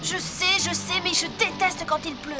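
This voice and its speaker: A tiny, extremely high voice plays a young female cartoon creature with a sulky temper. She grumbles bluntly and impatiently, with clear music behind her. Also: fairly even intonation, moderate pace. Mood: grumbling. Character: grumpy, moody young female cartoon animal. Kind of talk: French cartoon dub acting.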